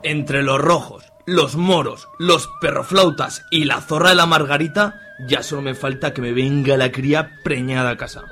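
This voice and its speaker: A man with a Spanish accent from Spain playing a stern, angry middle-aged father in an amateur radio soap, with a husky voice and a threatening manner. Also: fairly high pitch, normal speed, few pauses, bright, forceful tone, slight background noise. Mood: bitter, exasperated.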